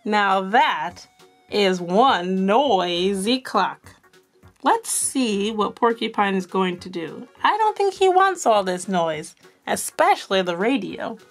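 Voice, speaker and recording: A woman reading a children's picture book aloud in an expressive, animated storyteller's manner. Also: medium pitch, slow pace, soft background music. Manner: animated, asks young listeners questions.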